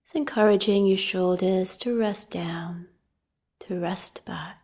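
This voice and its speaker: A woman leading a guided meditation as a teacher, giving calm, gentle, unhurried instructions with long pauses and a soft voice that turns slightly breathy at phrase ends. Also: mid-range voice.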